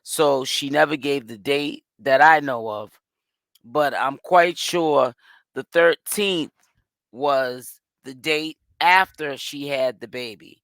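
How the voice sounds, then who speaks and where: A man with a hoarse voice, hosting and giving casual gossip commentary. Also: medium pitch, slow pace, a bit bright, no echo. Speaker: commentary host.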